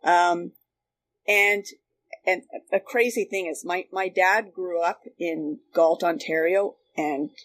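A woman with a Canadian accent chatting casually and telling stories in an animated way, as a guest looking back. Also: on the low side, slow. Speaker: guest, former radio worker. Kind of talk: casual reminiscing conversation. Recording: noticeable background noise.

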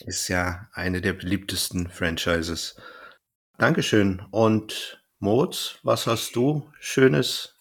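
A man's voice: deep voice, fairly even intonation, slow pace, slightly rough. A man hosting a podcast, moderating in a casual, chatty style. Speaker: podcast host.

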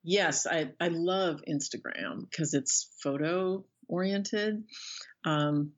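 A woman's voice: on the low side, slow pace. A woman speaking casually as a guest author in an interview, thinking aloud in a rambling, self-effacing way. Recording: dull lo-fi call audio.

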